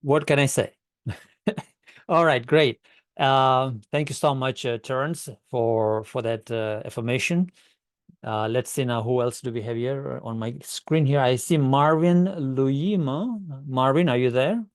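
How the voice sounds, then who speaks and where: A man's voice: medium pitch, a little slow, no room sound. Man, meeting host, friendly and upbeat, video call, dry sound. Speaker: meeting host greeting guests. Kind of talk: online club meeting chat.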